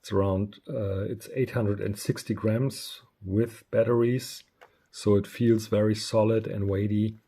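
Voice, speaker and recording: A man giving a casual, unscripted product review, speaking haltingly with frequent pauses. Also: lowish voice, fairly even intonation, mellow, husky. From Germany. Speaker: radio reviewer.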